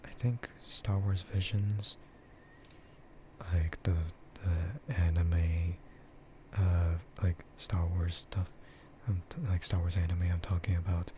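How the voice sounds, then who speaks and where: A man streaming a casual, rambling ASMR chat in a soft, breathy voice that often slips into a whisper. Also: deep voice, muffled recording, slight background noise. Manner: rambling, laid-back. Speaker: streamer and VTuber host.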